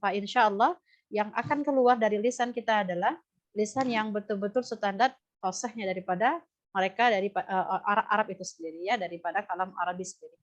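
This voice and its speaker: A woman's voice: mid-range voice, quick pace. A woman with an Indonesian accent teaching Quran recitation in a live lesson, explaining and demonstrating how sounds are pronounced. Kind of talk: live lesson answering student questions. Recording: dull, lo-fi online audio.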